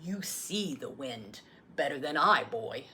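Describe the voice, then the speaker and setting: A woman voicing a gentle, loving old grandfather in a solemn, tender storybook read-aloud. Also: low voice, expressive, takes it slowly, a little hiss or hum, pitched below the performer's own voice, full character voice.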